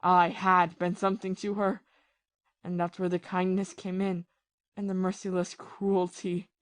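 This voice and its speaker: A woman playing a bitter, lovesick, ruined ex-army captain in a period audio play, confessing passionately and haltingly. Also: on the low side, level tone, no echo, lightly acted. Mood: bitter, despairing. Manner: confessional, impassioned, halting with repeated phrases.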